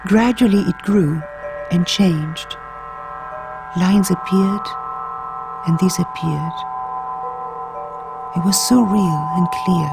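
A woman's voice: lowish voice, vocal fry, low-quality recording. A woman reading an audiobook as an earnest twenty-eight-year-old island woman writing an intimate letter. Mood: wondering, earnest.

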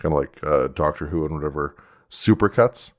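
A man in a casual fan discussion as a podcast host, halting and circling back as he explains. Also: extremely low pitch.

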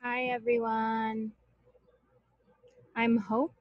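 A woman in her twenties from West Texas introducing herself on a video call, calm and unhurried. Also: a little high, no echo, dull audio. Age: 20s. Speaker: participant. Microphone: laptop webcam on video call.

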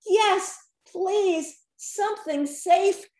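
Storybook wish-granter, female played by a woman reader: anxious, shaky, very high, slow delivery. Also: a little mellow, roomy sound, full character voice. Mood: panicked. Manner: nervous, fretful, shaky-voiced. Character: timid, anxious female wish-granting creature who frets constantly. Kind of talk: children's storybook character reading. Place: indoors, attic-style room at home.

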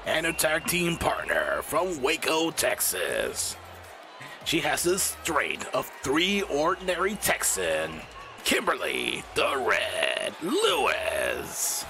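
A man's voice: a little high, wildly swooping pitch, takes it slowly, over music. A man streaming a wrestling game, announcing matches and joking with his chat while losing his voice. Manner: giggly, chatty, jokes with chat. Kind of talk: playful wrestling ring announcing and commentary.

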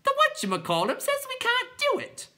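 Shrill, manic butterfly clown creature. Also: wildly swooping pitch, brisk, pushed and bright, exaggerated voice. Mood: mocking, shrill. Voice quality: shrill, squawking. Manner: clownish, sing-song, exaggerated. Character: manic squeaky butterfly clown creature, mocking, gleefully defiant.

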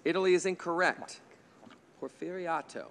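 Casting: man in his 50s, slightly high voice, quiz moderator, reads questions briskly with playful banter; long hall reverb. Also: expressive, creaky, a bit bright, slight background noise. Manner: chatty, jokey asides, chuckles at his own remarks. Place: indoor TV studio stage. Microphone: desk microphone on a stand.

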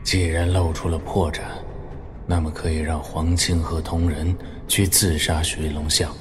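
Old scholar-strategist: extremely low, level voice, quiet, calculating, restrained, wuxia drama. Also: male voice, level tone, unhurried, soft background music. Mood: calm, scheming. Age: older. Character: calm, calculating old scholar, imperial tutor. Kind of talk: Chinese audio drama acting.

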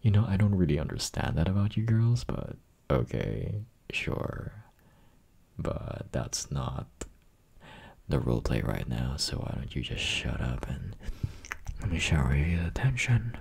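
Man acting a very deep, raspy clingy boyfriend. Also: big pitch swings, unhurried, darkish tone, full character voice. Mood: affectionate, teasing. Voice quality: raspy, breathy, gravelly. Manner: murmuring, often dropping into whispers. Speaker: roleplay audio performer. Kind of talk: intimate ASMR boyfriend roleplay.

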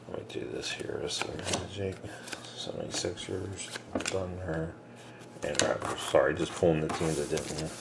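Low gravelly man with noisy room. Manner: reads names and teams in a low mumble. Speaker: card breaker. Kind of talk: casual card-break commentary. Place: small room indoors. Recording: noisy room with card shuffling.

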